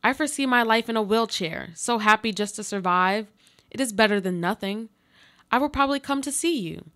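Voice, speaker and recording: A woman playing a frail but resilient dying woman in a live radio play, speaking in a solemn, deliberate, poetic way. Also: fairly high pitch, fairly bright tone, lightly acted. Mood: determined, hopeful. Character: frail dying woman, resilient, addressing her other self.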